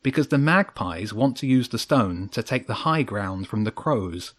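A man's voice: fairly low pitch, lively intonation, moderate pace, a bit bright. A man voicing an earnest, worried boy adventurer in a children's audiobook, a little hesitant.

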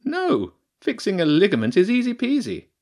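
A man's voice: high-pitched, wildly swooping pitch, moderate pace, darkish tone, voice pushed up, heavily acted. A man voicing an impatient, sardonic female badger scientist in a storybook reading, dry and mocking. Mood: curious, sly. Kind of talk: audiobook character voice.

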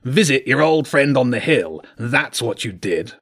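Gruff badger monarch for a children's audiobook, performed by a man: husky, imperious, terse, highly animated delivery. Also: unhurried, heavily acted. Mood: menacing. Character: gruff, commanding old badger king, menacing. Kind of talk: audiobook character voice.

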